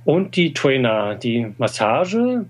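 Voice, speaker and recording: A man's voice: mid-range voice, big pitch swings, slow pace. A middle-aged man in an interview, explaining Chinese medicine with many fillers and tag questions. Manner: explanatory, frequent "äh" and "ne?". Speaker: TCM practitioner. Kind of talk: conversational interview.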